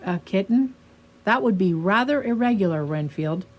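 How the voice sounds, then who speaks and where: A woman playing a weary, sorrowful but patient asylum doctor in a radio drama, with measured, educated, probing speech. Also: mid-range voice, animated, noisy background, natural voice.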